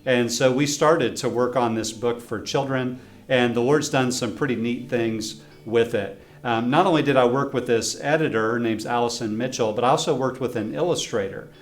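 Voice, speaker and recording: A man's voice: medium pitch, moderate pace. A man reading his own children's book aloud as a friendly storyteller, stopping to ask young listeners questions. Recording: roomy echo with faint guitar music.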